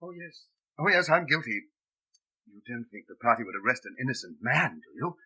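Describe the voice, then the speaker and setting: An actor playing an eager, simple-minded party loyalist in prison, nervous, chummy and pleading, with a husky voice, in a radio drama. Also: male voice, mid-range voice, sing-song, brisk, sharp tone, full character voice. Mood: confiding, earnest. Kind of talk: old-time radio drama acting.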